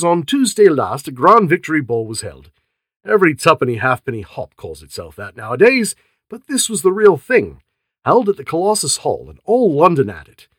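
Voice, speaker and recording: A man voicing a hearty, condescending male police inspector who talks loudly and persuasively. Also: fairly high pitch, sing-song, moderate pace, breathy edge, dry recording, clean studio sound. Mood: bluff.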